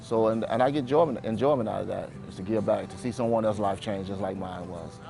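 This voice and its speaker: Man, quick talker, heartfelt, repeats phrases, faint music underneath. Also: on the low side, gravelly. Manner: heartfelt, repeats himself, lots of "you know". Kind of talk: testimonial interview.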